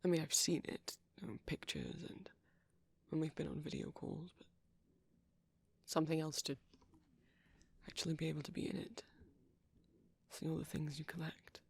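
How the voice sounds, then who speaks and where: A woman's voice: deep voice, steady tone, moderate pace, creaky, pauses often, breathy edge, dry recording, full character voice. Woman acting a shy, whispery young male vampire. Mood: shy, caring. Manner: hesitant, stammering, softly whispery. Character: shy gentle young male vampire, anxiously considerate boyfriend. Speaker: audio roleplay performer. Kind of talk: ASMR boyfriend roleplay.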